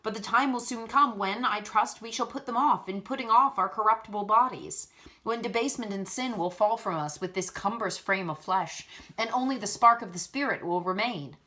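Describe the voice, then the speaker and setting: A gentle, pious schoolgirl speaks in a soft, measured, earnest way, voiced by a woman reading a storybook. Her tone is bright and clear, and she stays calm throughout. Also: medium pitch, lightly acted. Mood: earnest, serene.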